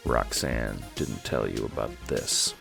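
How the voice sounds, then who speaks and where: A man's voice: rumbling low, slow pace, vocal fry, over music. A man narrating a scripted second-person audiobook drama.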